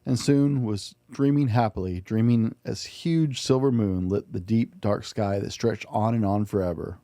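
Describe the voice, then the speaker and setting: Mellow, low-voiced man reading a children's story. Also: a little slow, no echo, studio quality. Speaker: podcast host and storybook reader. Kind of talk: expressive read-aloud of a children's picture book.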